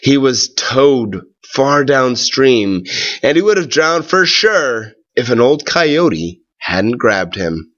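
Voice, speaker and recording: A man reading a storybook aloud with expressive, deliberate, dramatic phrasing. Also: medium pitch, lo-fi sound.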